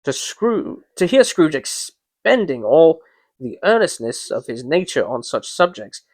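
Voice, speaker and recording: A man's voice: on the high side, dry recording. A man reading a classic story aloud as a dramatic storybook narration. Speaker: amateur reader of a classic story.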